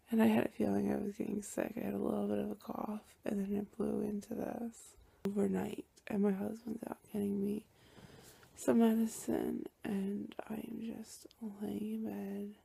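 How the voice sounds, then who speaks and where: A young woman talking softly in a personal video diary, often hushed and breathy, with sighs between phrases, sounding congested from a cold. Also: medium pitch, level tone, normal speed. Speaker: vlogger.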